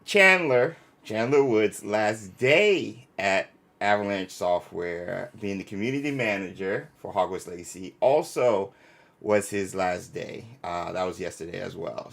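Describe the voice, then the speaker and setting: Animated man hosting a casual livestream. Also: deep voice, gravelly. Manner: animated, playful, informal slang. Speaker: live stream host. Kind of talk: casual livestream chat with viewers.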